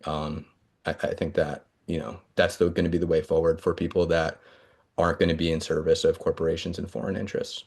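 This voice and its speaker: A man with a very low, flat voice that barely rises or falls. He answers at length in an earnest, halting way, padding his points with fillers and restarting his sentences. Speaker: congressional candidate. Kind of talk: campaign interview answers.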